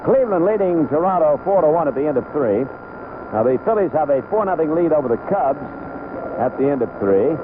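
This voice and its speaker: A man calling baseball play-by-play live on the radio in short, unhurried phrases. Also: on the high side, big pitch swings. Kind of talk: live radio sports commentary. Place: outdoor ballpark broadcast booth. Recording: tinny, noisy old AM radio broadcast.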